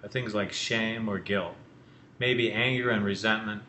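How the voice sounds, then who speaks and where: A man's voice: on the low side, fairly even intonation, a little slow, sharp tone. An older man presenting an educational explainer in a small office. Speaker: presenter, mental health educator. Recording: roomy echo with faint noise.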